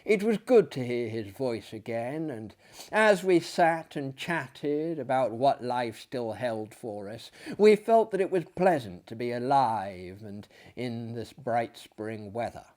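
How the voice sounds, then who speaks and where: Slow, theatrical man reading gothic fiction. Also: mid-range voice, lively intonation. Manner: theatrical, solemn, with hushed drops and deliberate pauses. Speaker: audiobook reader and performer. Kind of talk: dramatic narration of gothic fiction.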